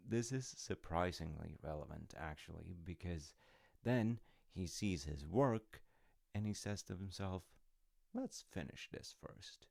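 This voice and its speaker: A man playing a gentle, wryly amused dad who tells his kid a folktale in an unhurried, musing, conversational way for an audio roleplay. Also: low-pitched, animated, creaky, no room sound, studio quality, heavily acted. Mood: fond, wry. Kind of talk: audio roleplay, rambling bedtime-style storytelling.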